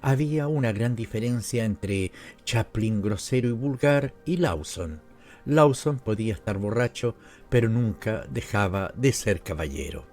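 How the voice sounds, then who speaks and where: Male audiobook narrator, Latin American Spanish accent, dark relaxed tone, scripted literary reading, background music. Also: on the low side, unhurried. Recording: music behind the voice.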